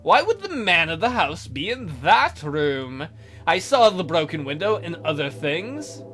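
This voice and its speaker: A man playing a polite, awkward detective who narrates his murder case in a measured way for a visual novel game. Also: on the high side, big pitch swings, a bit bright, soft background music. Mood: inquisitive.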